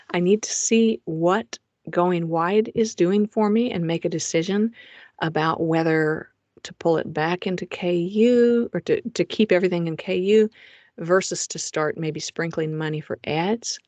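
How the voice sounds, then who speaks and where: A woman's voice: fairly low pitch, unhurried, darkish tone, dry recording, lo-fi sound. A middle-aged woman, a romance author, presenting her publishing plans over slides in a chatty way, with frequent fillers and restarts, recorded in a home recording booth.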